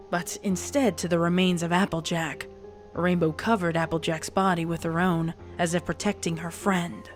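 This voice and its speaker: A woman narrates a dramatic fan fiction story from a script. Her voice sits slightly low for a woman. Soft music runs underneath the reading.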